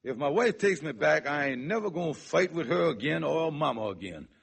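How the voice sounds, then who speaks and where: A man playing a scheming, henpecked husband in old-time radio comedy, with a raspy voice and excitable, wheedling, folksy ungrammatical speech. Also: fairly high pitch, a little fast, exaggerated voice. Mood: resolved. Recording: vintage radio broadcast recording.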